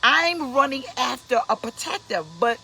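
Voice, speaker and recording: Emphatic higher-voiced woman in her fifties. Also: normal speed, sharp tone, faint music behind, poor audio. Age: 50s. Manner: emphatic, preachy, repeats key points. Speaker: host, pastor. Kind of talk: casual motivational relationship talk on a livestream.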